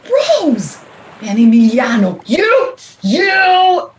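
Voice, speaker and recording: A woman playing a jealous, betrayed lover in a radio play, shrill and melodramatic. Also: huge pitch swings, extremely slow, exaggerated voice. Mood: outraged. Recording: roomy, clearly noisy.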